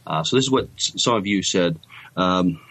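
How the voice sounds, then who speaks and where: Halting, lowish-voiced male podcaster. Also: a bit bright, a little hiss or hum, rough recording. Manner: halting, hesitant phrasing with repeated words. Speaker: podcast co-host. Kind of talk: casual chat, reading out listener comments.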